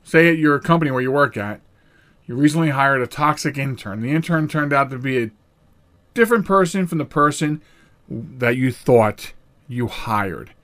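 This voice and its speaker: A middle-aged man hosting a solo podcast, talking in a rambling, animated way with frequent restarts and sudden jumps in pitch for emphasis. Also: moderate pace. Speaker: podcast host.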